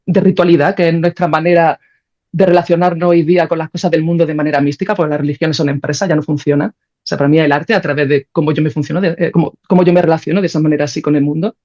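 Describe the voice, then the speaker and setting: A woman's voice: low voice, fairly even intonation, racing, mellow. Informal Spanish woman, slightly dull recording. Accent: Spain. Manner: informal, thinking aloud, often seeking agreement with tags. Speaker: podcast guest, composer and philosopher. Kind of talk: spontaneous, reflective interview conversation.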